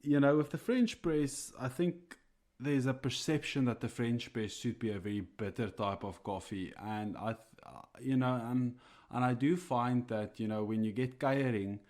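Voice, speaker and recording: A man's voice: medium pitch, moderate pace, darkish tone. A man whose first language is Afrikaans, co-hosting a podcast in casual, hesitant chat full of fillers and chuckles. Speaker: coffee roaster podcast co-host.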